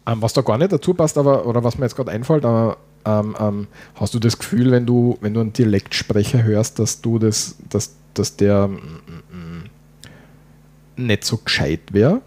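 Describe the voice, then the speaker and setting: A man's voice: lowish voice, normal speed, darkish tone. A man with an Austrian German accent and a rolling R, chatting casually as a podcast co-host, his dialect drifting in and out as he talks. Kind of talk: casual chat.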